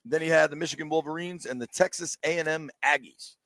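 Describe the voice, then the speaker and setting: A man's voice: on the high side, fast, fairly bright tone, dry recording. A man hosting a live sports radio show, joking and teasing while running through bracket picks. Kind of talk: casual sports radio banter. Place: sports bar, live broadcast.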